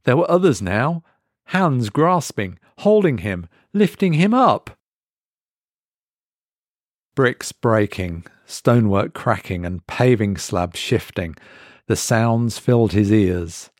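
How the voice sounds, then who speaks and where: A man reading a scripted horror story as narrator, with a measured, dramatic delivery in short weighted phrases. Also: fairly low pitch, big pitch swings, dry recording, studio quality. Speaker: story reader.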